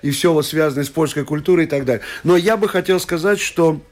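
A man weighs in as a political scientist on a radio discussion show. His voice sits a little high for a man, and his speech is full of pauses, fillers and broken-off phrases. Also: soft background music. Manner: hesitant, many fillers and restarts.

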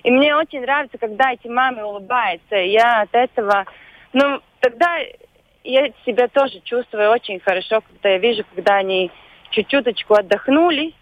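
A woman with a Latvian accent, whose first language is Latvian, speaks as a guest on a radio show by phone. She talks hesitantly and often pauses to search for words. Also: a little high, no echo. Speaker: volunteer guest. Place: phone call into a radio studio. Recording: dull lo-fi phone line.

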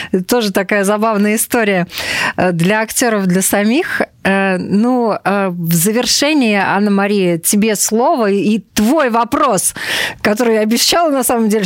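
A woman's voice: mid-range voice, no room sound. Woman hosting a discussion, dry close sound. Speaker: programme host. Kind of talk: conversational panel interview.

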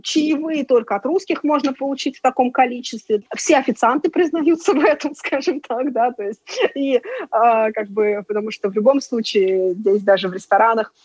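A woman's voice: a little high, normal speed, a little mellow, husky, dry recording, muffled recording. A middle-aged woman from Russia with a Russian accent, chatting as an interview guest and tour guide in a casual, talkative way.

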